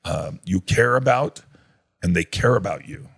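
A pastor talking through a topic in a casual podcast discussion, rambling a little with fillers and restarts. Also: male voice, deep voice, animated, unhurried, creaky, a bit bright, slightly rough. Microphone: podcast microphone.

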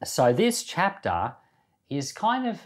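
A man's voice: fairly high pitch, wildly swooping pitch, takes it slowly. A man hosting a Bible podcast, reading scripture expressively and with emphasis, then commenting on it informally. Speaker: Bible podcast host and reader.

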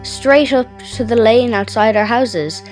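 A woman's voice: on the high side, unhurried, soft background music, lightly acted. A woman with an Irish accent playing a polite, earnest young boy in a children's audio drama. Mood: gentle, narrating.

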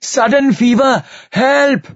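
A man's voice: very high-pitched, takes it slowly, no echo, muffled recording, pitched above the performer's own voice, full character voice. A man voicing a panic-stricken young tribesman pleading urgently for help in an audiobook story. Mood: alarmed.

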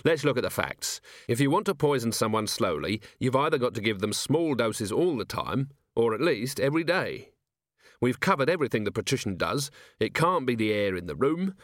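A man voicing a gruff middle-aged male watch commander, terse, commanding and brooding, in an audiobook. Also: medium pitch, very animated, normal speed, dry recording, clean studio sound, natural voice. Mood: impatient, musing.

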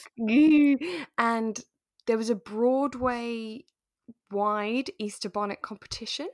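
A woman co-hosting a casual review podcast, chatting in a giggly, animated way with enthusiastic gasps. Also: fairly high pitch, slow, no echo. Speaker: co-host of a radio drama review podcast. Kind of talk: casual chat.

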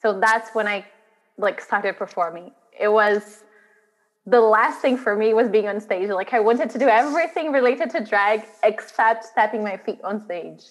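A woman from Brazil talks through her story as an interview guest, chatty and ready to laugh. Her voice sits at a middle pitch. A long reverb trails behind every phrase. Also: moderate pace. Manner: chatty, laughs while telling stories. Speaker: guest, drag artist. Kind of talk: interview chat.

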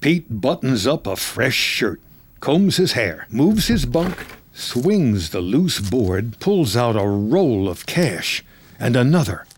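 A man's voice: mid-range voice, big pitch swings, takes it slowly, slight background noise. A man giving terse, dramatic scripted narration of a film story in the present tense.

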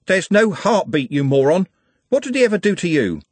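A man voicing a furious, grief-stricken young street lad who shouts and swears scornfully. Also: sits high, very animated, moderate pace, slightly rough, dry recording, pitched above the performer's own voice, exaggerated voice. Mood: furious, anguished.

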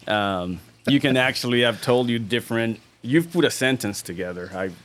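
A man born in Venezuela, a guest on a casual podcast, telling stories in a halting way with many fillers and frequent laughter. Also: mid-range voice, lively intonation, fairly bright tone.

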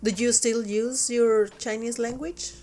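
A woman's voice: on the high side, fairly even intonation, a little slow, a little mellow. A young adult woman from Mexico with a Mexican accent and Spanish as her first language, hosting a casual podcast chat and speaking hesitantly, with many fillers and restarts. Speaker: podcast host.

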